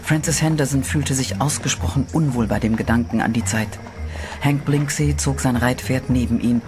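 A man reading scripted horror narration in a measured, dramatic way. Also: medium pitch. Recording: music bed under voice.

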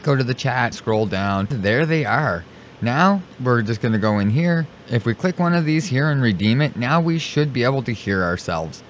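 A man with a mid-pitched voice walks through a software tutorial step by step. He speaks informally and sometimes repeats himself as he narrates his clicks. There is clear noise in the recording. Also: expressive, moderate pace. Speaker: streamer giving a software tutorial. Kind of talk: casual step-by-step screen tutorial. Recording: clearly noisy home recording.

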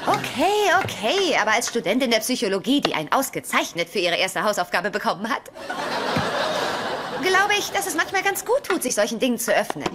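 A woman's voice: on the high side, big pitch swings, brisk. An actress playing a curious young woman in a dubbed sitcom, teasing and coaxing in her manner. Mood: prodding. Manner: playful, coaxing. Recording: noisy sitcom audio with clicks.

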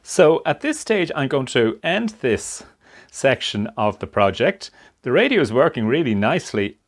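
A man giving unscripted hands-on narration at a workbench, explaining things step by step in a measured way. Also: medium pitch, big pitch swings. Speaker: hobbyist presenter of a radio restoration. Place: indoor workshop at a workbench.

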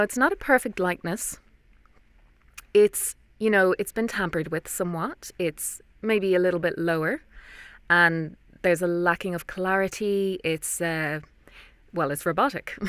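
Low-pitched Irish woman, dry sound. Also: a little slow, fairly bright tone, dry recording. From Ireland. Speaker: voice actor, interview guest. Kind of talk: conversational interview account. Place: small padded recording booth. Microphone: close studio microphone, dry.

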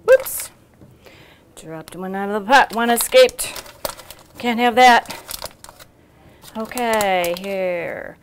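A middle-aged woman walks viewers through a recipe in a home kitchen, talking slowly and casually. She fills pauses with ums and starts sentences over. A faint hiss sits under her voice. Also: medium pitch. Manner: chatty, unhurried, many ums and restarts. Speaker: cooking show host. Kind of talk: casual cooking demonstration.

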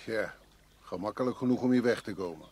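Husky, gloomy police investigator. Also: male voice, lowish voice, a little fast, a little mellow. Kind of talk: Dutch radio drama acting.

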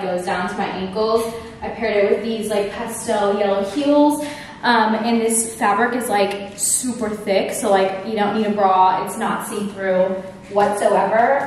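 A woman in her early twenties chatting casually to camera in an informal, filler-heavy way, as a lifestyle vlogger. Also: medium pitch. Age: early 20s. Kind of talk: casual vlog chat to camera. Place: large, mostly empty room in a house. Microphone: camera at arm's length or on tripod. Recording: echoey bare room with faint noise.

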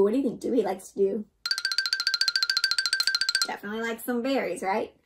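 A young woman reading a storybook aloud to children in an expressive, interactive way, often putting questions to the listener. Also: a little high. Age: young adult. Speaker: children's read-aloud host. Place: indoor room.

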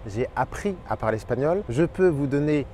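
A man in his thirties who hosts a language channel, explaining his tips to camera in an energetic, expressive way. He is outdoors by a park pond and wears a lapel mic. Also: medium pitch, moderate pace, slight background noise. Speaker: Spanish teacher and channel host.

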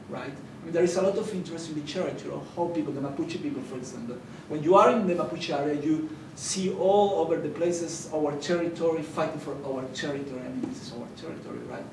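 A young man from Chile with a Chilean Spanish accent, speaking earnestly as a conference panelist and restarting his sentences often. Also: a little high. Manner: earnest, halting, with many fillers and restarts. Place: conference hall. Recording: echoey hall, distant mic, noisy.

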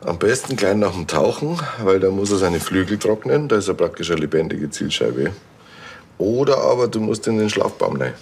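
An actor playing a blunt, grumbling café owner in a TV crime comedy, husky. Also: male voice, deep voice, animated, normal speed, a little mellow, a little hiss or hum. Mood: explaining.

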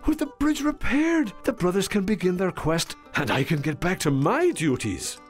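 An actor playing a kindly, fussy friar in a game cutscene. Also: male voice, high voice, very animated, moderate pace, soft background music. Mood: genial. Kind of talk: video game cutscene acting.

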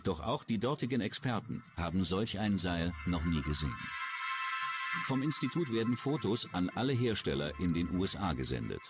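A man's voice: low-pitched, very animated, normal speed. A man reading scripted true-crime narration as a documentary narrator. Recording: music under the voice. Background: background music.

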